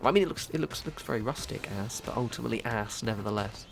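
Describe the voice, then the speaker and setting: A man's voice: medium pitch, fast talker, gravelly, over music. Stuffy-nosed man rambling about games. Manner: rambling, self-correcting, casually swearing. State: stuffy nose from a cold. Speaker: gaming video creator. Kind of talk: casual gameplay commentary.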